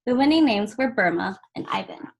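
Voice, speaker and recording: A woman reading a children's picture book aloud as a volunteer story reader, in measured phrases with pauses between them. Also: mid-range voice, expressive, gravelly.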